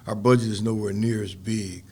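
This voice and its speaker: A man's voice: on the low side, little pitch movement, normal speed, mellow, a little hiss or hum. An older man, speaking earnestly and reflectively as a podcast interview guest. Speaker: guest, community organizer.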